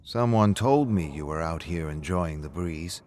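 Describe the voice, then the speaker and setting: Man acting a low-voiced, wistful, rambling friend. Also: animated, measured pace, darkish tone, slight background noise. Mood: gentle, musing. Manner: rambling, philosophical small talk. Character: reflective, talkative male friend, wistful and consoling.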